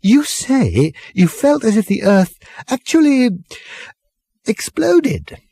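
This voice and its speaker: A man voicing a bewildered, mild Englishman who is slow to grasp things and speaks with hesitant, puzzled deliberation. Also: very high-pitched, huge pitch swings, vocal fry, mellow, no room sound, pitched above the performer's own voice, exaggerated voice.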